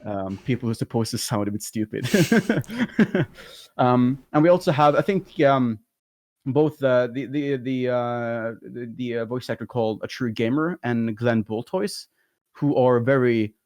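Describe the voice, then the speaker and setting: Chatty guy with a light voice, rambles on and laughs a lot, middle pitch. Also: unhurried, a little mellow. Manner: talkative, rambling, laughs often. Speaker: guest, YouTube content creator. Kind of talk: casual interview chat.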